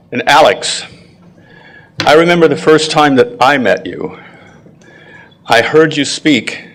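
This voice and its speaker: A man giving a prepared school ceremony address with personal anecdotes, speaking in a deliberate, proud and encouraging way. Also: mid-range voice, fairly bright tone. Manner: frequent throat clearing.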